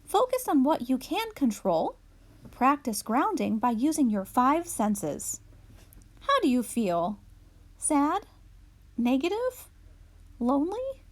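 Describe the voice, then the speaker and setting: Woman reading aloud, high animated voice. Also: slow pace, pauses often. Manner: animated, clear storytelling for young children. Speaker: children's storybook reader. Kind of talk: expressive read-aloud of a children's picture book.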